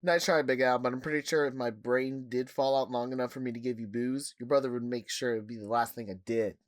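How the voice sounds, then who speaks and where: A man's voice: mid-range voice, steady tone, fast talker, close to an everyday voice. A man playing a joking male bar worker who teases his friends. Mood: teasing. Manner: teasing, jokey. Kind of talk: fan audio drama.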